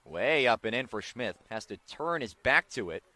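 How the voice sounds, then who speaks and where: An American man doing live play-by-play baseball commentary on the radio, with excited calls on big plays. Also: mid-range voice, moderate pace, no echo.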